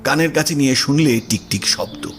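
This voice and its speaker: A man's voice: medium pitch, expressive, a little fast, a bit bright. A man reading literary prose as the narrator of an audio story. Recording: music under the voice. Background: background music.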